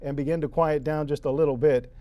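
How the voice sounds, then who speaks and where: A man's voice: fairly high pitch, steady tone, fast, muffled recording. An American man working as a TV weather forecaster, ad-libbing live storm coverage in an explanatory way. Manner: explanatory, unscripted.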